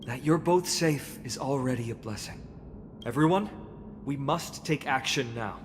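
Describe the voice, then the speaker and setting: An actor playing a grave, resolute young warrior leader in a fantasy game, speaking in a solemn, commanding, measured way. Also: male voice, medium pitch, a little hiss or hum, close to an everyday voice. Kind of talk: dubbed game dialogue, dramatic. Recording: long reverb, game music behind.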